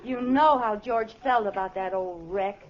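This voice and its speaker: An actress playing a flighty, guilty but good-hearted housewife in 1940s radio comedy, with a coy, theatrical innocence. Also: female voice, medium pitch, a little slow, lightly acted. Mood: guilty, chatty. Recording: dull, noisy old radio recording.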